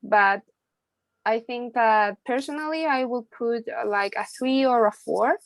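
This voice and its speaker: A woman's voice: fairly high pitch, unhurried, dry recording. Young woman student. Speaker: university student. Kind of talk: class discussion.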